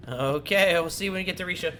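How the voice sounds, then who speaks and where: A man's voice: high voice, fast talker, faint music behind. A man doing informal, playful gameplay commentary, chatting to himself and his viewers. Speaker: game streamer. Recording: loud background game noise.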